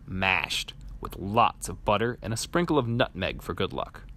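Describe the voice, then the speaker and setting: A man's voice: fairly low pitch, very animated, normal speed, fairly bright tone, a little hiss or hum. A man voicing a curious, eager young child in a storybook, with a questioning manner.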